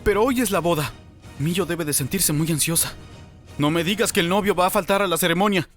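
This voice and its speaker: A man's voice: high-pitched, fairly quick, fairly bright tone, faint music behind. A man playing a stern, stressed military commander in an anime-style story, speaking with urgency. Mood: urgent concern.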